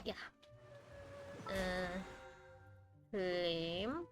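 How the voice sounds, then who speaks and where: A woman's voice: fairly low pitch, extremely slow, over music. A woman doing casual live game commentary, reacting aloud in short muttered remarks. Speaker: game streamer.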